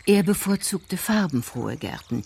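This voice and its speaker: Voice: medium pitch, sing-song, unhurried, creaky, darkish tone, a little hiss or hum. A narrator reading scripted literary prose for a radio crime drama.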